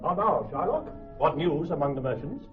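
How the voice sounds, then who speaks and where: Actor as taunting gentleman, muffled, swooping voice. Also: on the high side, expressive, moderate pace, faint music behind, close to an everyday voice. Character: mocking Venetian gentleman, needling a moneylender. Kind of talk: classical Shakespearean radio acting. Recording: muffled, band-limited old radio recording.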